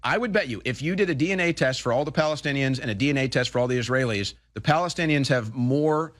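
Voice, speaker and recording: A man talking casually and rattling off a list, one item after another in quick succession. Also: mid-range voice, over loud music.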